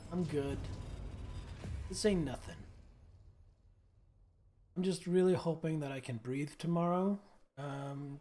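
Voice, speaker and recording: Hoarse man streaming, blunt and earnest. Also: fairly high pitch, darkish tone. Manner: blunt, earnest advice with casual swearing. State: hoarse, irritated throat. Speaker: game streamer. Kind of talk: casual chat while playing.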